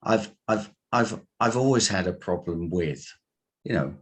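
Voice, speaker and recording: A man, a coach being interviewed, explaining his work in deliberate, halting phrases. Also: low-pitched, animated, slightly rough. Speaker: guest, coach and hypnotherapist.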